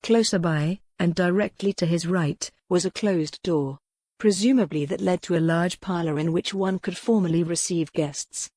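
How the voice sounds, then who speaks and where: Woman, audiobook narrator, mellow, dry close-mic recording. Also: fairly low pitch, normal speed, dry recording. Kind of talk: scripted narration.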